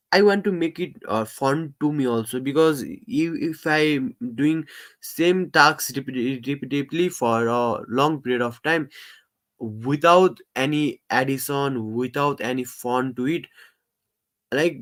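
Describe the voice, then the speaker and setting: A man hosting a casual live stream, talking in halting English full of fillers and restarts, and he says he stammers. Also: medium pitch. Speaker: livestream host.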